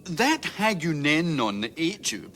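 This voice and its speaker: A man's voice: fairly high pitch, big pitch swings, unhurried, fairly bright tone, a little hiss or hum. A man playing a garrulous, nosy but respectful freighter crewman, eager and incredulous, in a radio comedy. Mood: curious, incredulous. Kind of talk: British radio comedy drama acting.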